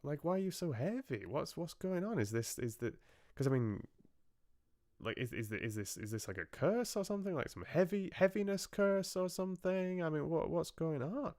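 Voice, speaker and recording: Storybook captive maiden, played by a man: raised pitch, naive and puzzled, babbling questions, clean dry sound. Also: lively intonation, moderate pace, a little mellow, dry recording. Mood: naive puzzlement. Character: naive captive fairy-tale young woman, blurting and puzzled.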